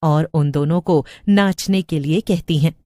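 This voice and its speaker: Woman, storyteller, scripted narration, slightly low pitch, slow pace, dry sound. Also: warm tone, no room sound.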